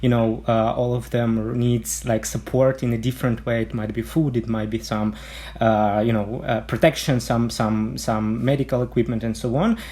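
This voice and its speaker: A man from Ukraine, a guest on a radio interview, who speaks haltingly and fills with "like" and "uh". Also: medium pitch, steady tone, darkish tone.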